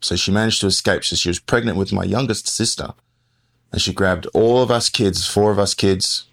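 Forties male guest, Australian accent, deep with a rough fry, loose rambling delivery. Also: fairly even intonation, moderate pace, fairly bright tone. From born in the US, raised in Western Australia. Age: 40s. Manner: rambling, self-correcting storyteller. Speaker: podcast guest. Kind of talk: casual interview talk.

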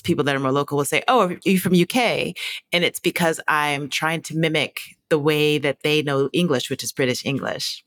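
A woman's voice: low-pitched, fairly bright tone. A woman hosting a podcast, interviewing in a casual, affirming and reflective conversational way.

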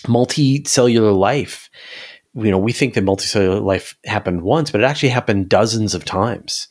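A man's voice: on the low side, normal speed, darkish tone. A man in a casual, speculative science discussion, thinking aloud and often rephrasing his points as he goes. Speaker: science interviewer and podcast guest.

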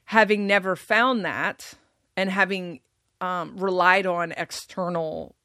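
A woman with a deep, low voice talks things through in relaxed conversation. She pauses and restarts as she thinks aloud. The sound is close and dry, with no room around it. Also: dry recording. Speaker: podcast guest. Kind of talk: casual conversation.

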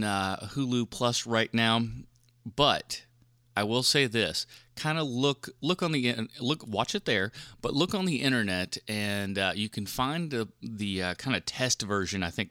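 A man's voice: medium pitch, pushed and bright, smooth, no room sound, studio quality. A man hosting a film podcast solo in a casual, rambling, informal and mildly profane way. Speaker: podcast host reviewing films.

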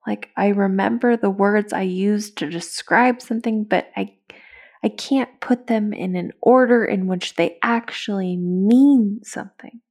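A woman's voice: mid-range voice, a little slow, a little mellow. An actress playing an anxious young woman in a found-footage audio drama, speaking hesitantly with sighs and whispers. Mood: uneasy, reflective. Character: anxious, searching young woman confiding to a recorder.

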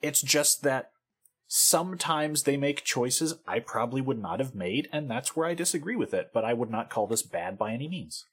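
A woman in her thirties discussing albums casually as a podcast co-host, giving measured, considered opinions. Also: rumbling low, animated, breathy edge.

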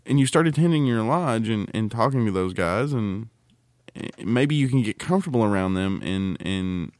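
A man with a fairly low voice talks like a casual podcast co-host. He rambles and halts through his explanations in a joking way. The sound is dry, with very little room. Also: dry recording.

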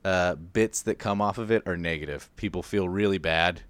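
A man with a fairly low, clear voice chats as a podcast co-host. He explains things casually and loosely, with mild slang and a self-deprecating streak. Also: moderate pace, no room sound. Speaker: podcast co-host presenting research.

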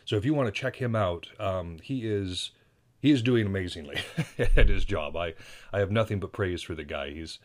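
A man's voice: sits low, normal speed. An American man hosting a solo podcast, talking casually and rambling with self-deprecating asides and frequent restarts. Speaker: podcast host. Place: indoors at home.

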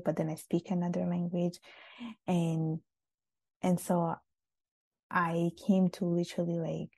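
Young woman guest from italy, gravelly low voice, flat delivery, dry close sound. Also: no room sound. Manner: reflective, with frequent restarts and "like". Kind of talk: casual interview answers.

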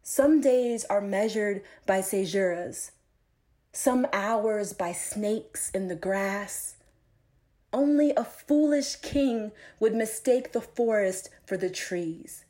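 A woman recites poetry at a very unhurried pace, in a mid-pitched voice. She sets out each phrase deliberately, with pauses between them, as a poet reading her own work. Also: expressive, warm tone.

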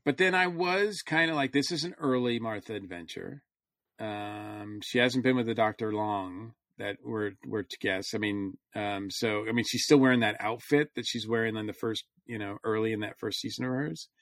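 A man's voice: on the low side, normal speed, fairly bright tone, dry recording, studio quality. A man in casual, rambling conversation as a panelist on a review podcast.

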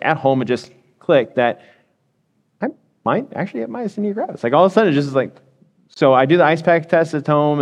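A man, a physician assistant, answering as a guest on a podcast. He speaks candidly and haltingly, with many restarts. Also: mid-range voice, darkish tone. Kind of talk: casual interview answers.

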